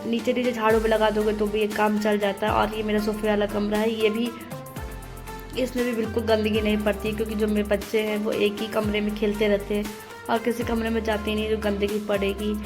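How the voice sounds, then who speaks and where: A young woman homemaker narrating her daily chores in a steady, matter-of-fact voice-over, step by step. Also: mid-range voice, fairly quick. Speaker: homemaker vlogger. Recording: voice-over with loud background music.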